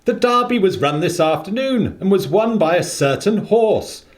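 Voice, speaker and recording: A man playing a keen, good-natured gentleman, a detective's companion, eager and formal in a radio play. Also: high voice, expressive, normal speed. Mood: pleased, excited.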